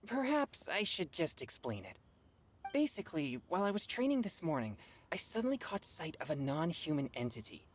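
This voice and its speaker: A woman's voice: low voice, very animated, normal speed, slight background noise. A woman playing an earnest, serious teenage boy exorcist in an anime game, speaking in a formal, self-critical way.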